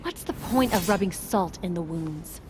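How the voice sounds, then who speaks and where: A woman's voice: lowish voice, animated, moderate pace, background noise, natural voice. An actress playing a wounded, distrustful young woman in a video game drama, speaking in an intense, bitter and guarded manner. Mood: agitated, evasive.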